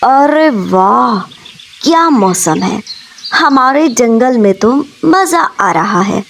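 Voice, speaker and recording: A woman voicing an excitable, easily frightened male crow in a cartoon story, exclaiming and stammering. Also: on the high side, slow pace, gravelly, a little hiss or hum, exaggerated voice. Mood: delighted. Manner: exclaiming, stammering when scared.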